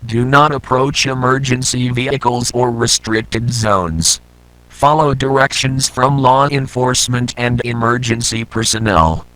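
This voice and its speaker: A man voicing a stern, impersonal emergency alert announcer, reading formal scripted instructions in a measured, deadpan way. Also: mid-range voice, no room sound, poor audio.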